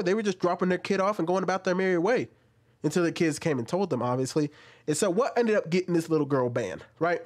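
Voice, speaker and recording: A man in his thirties hosting casual commentary at home into a studio mic, talking with animated, questioning emphasis. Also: high voice. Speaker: commentary host. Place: small room at home, indoors. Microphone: studio mic on an arm, wearing headphones.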